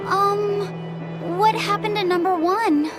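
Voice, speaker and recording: A woman's voice: very high-pitched, takes it slowly, loud music behind the voice. A woman voicing a timid young boy who speaks hesitantly and meekly in a game. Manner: hesitant, meek. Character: timid young boy, a small gentle servant. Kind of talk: game voice acting.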